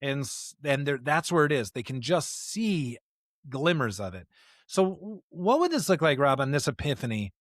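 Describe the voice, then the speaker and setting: A man's voice: on the high side, very animated, moderate pace, dry recording, clean studio sound. An American man co-hosting a conversational scripture podcast, speaking in an earnest, reflective, preacherly way with rhetorical questions. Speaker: podcast co-host.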